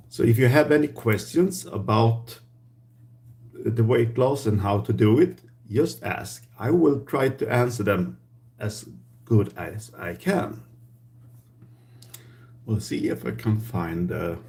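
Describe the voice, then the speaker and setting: A man with a Swedish accent, a native Swedish speaker, hosting a casual live stream Q&A in halting English and searching for words. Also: fairly low pitch, darkish tone. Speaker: livestream host.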